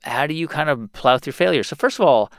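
A man's voice: fairly high pitch, animated, brisk, fairly bright tone, no echo, studio quality. A man hosting a call-in advice show, friendly, often repeating words as he thinks aloud. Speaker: show host.

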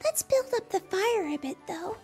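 A woman voicing Lucy, a small excitable female bird in a storybook, speaking high and eager. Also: moderate pace, soft background music.

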